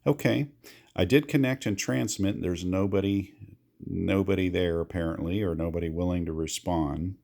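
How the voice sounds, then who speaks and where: Casual male demonstrator, American, very low register, gravelly fry, patient explanatory repeats. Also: normal speed, a little mellow. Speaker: amateur radio hobbyist giving a demonstration. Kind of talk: casual how-to walkthrough.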